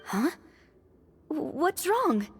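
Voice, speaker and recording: High-voiced, eager young adventurer. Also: female voice, very animated, unhurried, a bit bright, faint music behind, full character voice. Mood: concerned. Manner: eager, emphatic. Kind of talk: video game voice acting.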